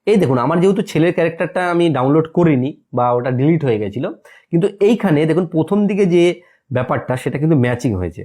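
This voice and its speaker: A man's voice: fairly high pitch, lively intonation, rapid-fire, mellow. A man presenting a step-by-step screen tutorial in an instructional way, often asking a question and then answering it himself.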